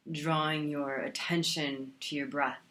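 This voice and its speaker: Slow, low-voiced woman guiding meditation. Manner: calm, soothing, with long pauses. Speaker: meditation teacher. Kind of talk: guided meditation.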